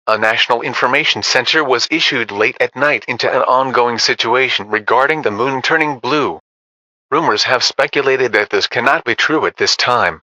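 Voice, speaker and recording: A synthetic-sounding man reading a scripted morning news report as an anchor, formal and measured. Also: mid-range voice, sharp tone, dry recording, muffled recording. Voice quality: synthetic text-to-speech voice.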